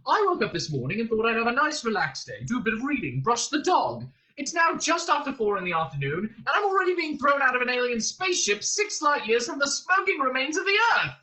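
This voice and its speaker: A man's voice: very high-pitched, big pitch swings, brisk, sharp tone, voice pushed up, heavily acted, poor audio. A man voicing a hapless, hysterical human man in a story reading, spluttering and whining with indignation. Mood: indignant complaint.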